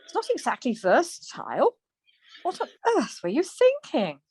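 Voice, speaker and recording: A woman playing a brisk, plain-spoken, chatty wife in a radio play, chiding and questioning. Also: sits high, huge pitch swings, darkish tone, husky, no echo, exaggerated voice. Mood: incredulous.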